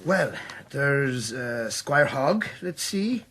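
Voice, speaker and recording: An actor playing a genial, deferential Irish retainer in a radio drama, with an Irish accent and a folksy, fawning manner. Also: male voice, mid-range voice, sing-song, drawn-out words, a bit bright, full character voice. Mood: obliging.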